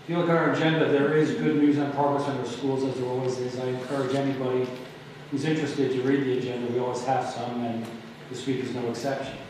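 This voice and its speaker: A man's voice: medium pitch, flat delivery. Man chairing a meeting, echoey hall. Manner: brisk, moves through the agenda. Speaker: board president chairing the meeting. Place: large public meeting room. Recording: echoey hall from far off, background noise.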